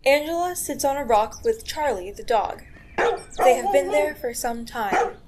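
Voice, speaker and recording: A woman with a fairly high voice serves as narrator of a radio play. She reads scripted scene headings and stage action. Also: lively intonation, unhurried, heavy background noise.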